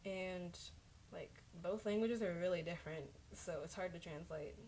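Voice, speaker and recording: A woman's voice: lowish voice, normal speed, darkish tone, slight background noise, rough recording. A young woman whose first language is Chinese, talking to herself as she checks her study answers on a casual livestream into a desk microphone, often correcting herself.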